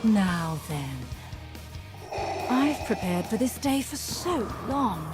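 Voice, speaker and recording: Intense, slow-paced vengeful Sith villainess. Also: female voice, medium pitch, sing-song. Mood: menacing, brooding. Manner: theatrical, intense. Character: vengeful Sith woman rival, obsessive, proud, defeated. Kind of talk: video game voice acting. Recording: loud music under the voice.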